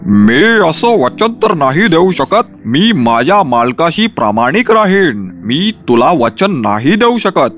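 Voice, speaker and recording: A man voicing a loyal, honest old dog in a children's animated fairy tale, slow and firm in speech. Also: a little high, faint music behind, full character voice. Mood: firm, apologetic.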